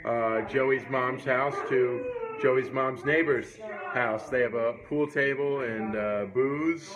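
A man's voice: mid-range voice, steady tone, slow, over a noisy crowd. A man in his thirties narrating a casual tour vlog into a phone camera, joking and using fillers. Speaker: band member vlogging a tour. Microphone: phone camera at arm's length. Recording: noisy handheld phone audio.

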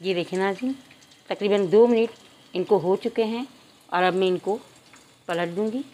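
A middle-aged woman with an Urdu accent calmly explaining a recipe step by step to viewers in a home kitchen. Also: medium pitch, moderate pace. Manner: calm, instructive, addresses viewers as nazreen. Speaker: cooking channel host. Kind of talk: step-by-step cooking instructions.

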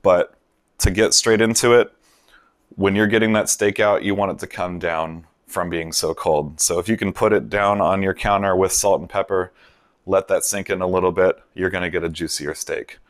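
A man hosting a cooking show, talking through a steak recipe step by step in a relaxed way. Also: low-pitched, moderate pace, no echo, clean studio sound. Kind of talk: voice-over cooking instructions. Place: kitchen in a vacation villa.

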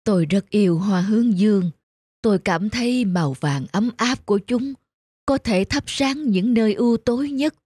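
A woman voicing a gentle, ailing old farm wife in a cartoon, tender and wistful. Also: mid-range voice, normal speed, slightly rough, no room sound, natural voice. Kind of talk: cartoon dubbing.

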